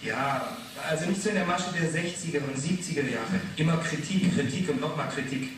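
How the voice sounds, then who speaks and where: A man's voice: a little high, lively intonation, a little fast, close to an everyday voice. An actor playing an earnest, guarded male TV editor in his twenties in a radio play. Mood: matter-of-fact, self-assured. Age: 20s. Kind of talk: 1980s German radio play acting. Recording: very echoey room, distant, noisy.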